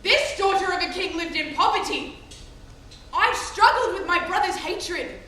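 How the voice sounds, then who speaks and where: Anguished young tragic princess, female stage actor, high and bright, intense and proud. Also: lively intonation, moderate pace. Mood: tender, tormented. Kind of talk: stage tragedy acting. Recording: very echoey hall, distant mic, faint noise.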